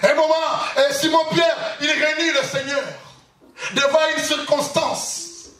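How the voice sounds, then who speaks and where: Brief: male pastor, emphatic sermon with shouted bursts, very high ringing voice, echoey church hall. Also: little pitch movement, measured pace. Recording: echoey hall, far from mic, faint noise.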